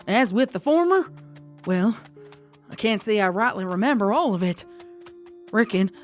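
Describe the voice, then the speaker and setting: A woman's voice: mid-range voice, expressive, normal speed, faint music behind, lightly acted. A woman voicing a plainspoken, uneasy country pony mare in a fan fiction audio drama. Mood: sheepish. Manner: folksy, plain, reluctant.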